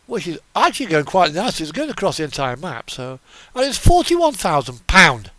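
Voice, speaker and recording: A man's voice: on the high side, wildly swooping pitch, a little fast, pushed and bright, dry recording. A man giving casual live commentary as he streams a game, chatting and thinking aloud.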